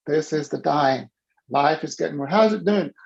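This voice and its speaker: A man coaching a participant on a video call, animated and teasing as he prods them by name. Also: on the high side, moderate pace, vocal fry, highs cut off. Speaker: business coach.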